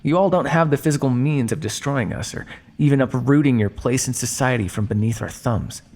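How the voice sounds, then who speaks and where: A man playing a condescending, coolly menacing vampire scientist in an ASMR roleplay, speaking to his captive in a measured, teasing way. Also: mid-range voice, a little mellow, slight background noise, close to an everyday voice. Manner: smug, measured, teasing, theatrical. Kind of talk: ASMR roleplay monologue addressed to listener.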